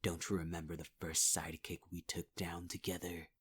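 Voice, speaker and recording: A man's voice: low-pitched, flat delivery, brisk, a bit bright, slightly rough. A man playing a whispering, menacing villain. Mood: taunting. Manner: taunting, cold, calling the listener sweetheart; half-whispered delivery. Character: menacing male villain, possessive and cruel. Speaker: audio roleplay performer. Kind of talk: ASMR audio roleplay.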